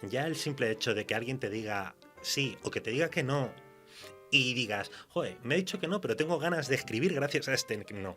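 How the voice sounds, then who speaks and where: A man's voice: medium pitch, animated, a little fast, sharp tone, faint music behind. A man with a Spain accent talking casually and colloquially as a writer being interviewed, telling anecdotes with some mild swearing. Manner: laughs while talking. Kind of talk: casual literary interview chat.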